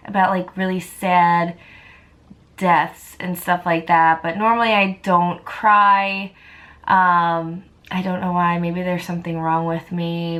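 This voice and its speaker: A woman's voice: mid-range voice, fairly bright tone. A woman chatting casually and enthusiastically to viewers as a book vlogger.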